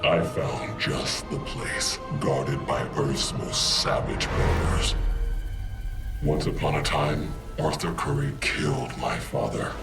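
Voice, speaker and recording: A man's voice: extremely low pitch, gravelly, exaggerated voice. A man playing a bitter, proud, menacing supervillain out for revenge in a video game monologue.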